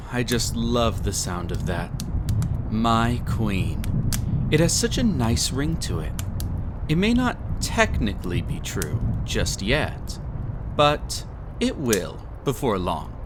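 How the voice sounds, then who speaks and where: A man's voice: mid-range voice, wildly swooping pitch, slow pace, noisy background. A man playing a possessive, smug prince in a romantic audio roleplay, with a silky, condescending, theatrical delivery. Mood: smug, doting. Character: possessive, smug young prince with hypnotic magic.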